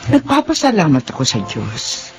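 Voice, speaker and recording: A woman's voice: fairly low pitch, huge pitch swings, normal speed, over music. An actress playing an aging, yearning and forgiving mother in a Filipino melodrama, emotive and earnest. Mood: tender. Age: older.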